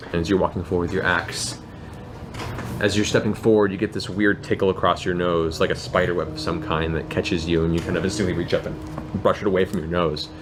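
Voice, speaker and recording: Low-pitched male game master, theatrical and descriptive storyteller, clearly noisy room recording. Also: little pitch movement, fairly quick. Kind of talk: improvised narration of a tabletop game.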